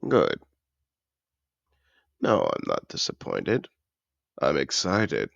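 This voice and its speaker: Fantasy audio roleplay: male actor as a wealthy, seductive dragon in human guise; extremely low, slow, cultured and effusive. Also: wildly swooping pitch, gravelly, a bit bright, no echo, muffled recording, full character voice. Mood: excited, urging. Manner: refined, effusive, theatrical phrasing. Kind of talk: scripted audio roleplay addressed to the listener.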